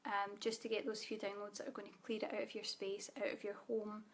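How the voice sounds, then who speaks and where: A Scottish woman leading a live online spiritual training, speaking softly and calmly, guiding listeners with frequent ums. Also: mid-range voice, quick pace. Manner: soft guided-meditation delivery with fillers. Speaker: spiritual teacher.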